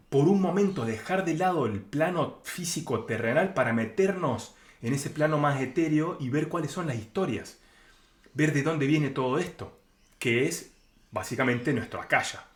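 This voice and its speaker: An Argentine man gives an informal spiritual lecture in a mid-range voice. He explains conversationally, slipping into first-person examples as he goes. The recording has a clearly roomy echo around his voice. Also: medium pitch. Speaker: spiritual teacher. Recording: roomy, echoing sound.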